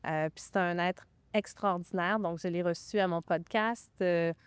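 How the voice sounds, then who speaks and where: A woman from Quebec with a Québécois French accent, a real estate broker chatting enthusiastically as a podcast guest, often pausing on fillers. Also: lowish voice, steady tone, clear, resonant tone, no room sound. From Quebec (Magog). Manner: enthusiastic, talks with lots of hesitation fillers. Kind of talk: casual interview chat.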